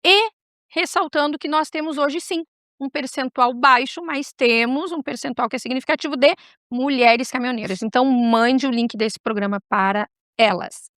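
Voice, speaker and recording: A woman with a high voice and a southern Brazilian gaúcho accent hosts a talk show. She chats informally, wandering off and turning back to address the audience directly. The sound is dry and close. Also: moderate pace, a bit bright, dry recording. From Rio Grande do Sul, Brazil. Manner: chatty, digressive, addresses viewers directly. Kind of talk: casual talk show chat.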